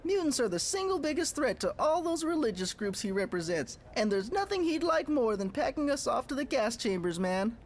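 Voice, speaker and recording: A man's voice: extremely high pitch, very animated, a little fast, few pauses, a little hiss or hum, full character voice. A woman playing an indignant, defiant teenage mutant boy in a motion comic, heated and slangy. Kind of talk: motion comic character acting.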